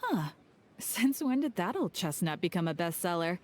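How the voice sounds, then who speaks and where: A wry bookshop clerk. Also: female voice, fairly low pitch, big pitch swings, normal speed, background noise. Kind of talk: video game cutscene dialogue.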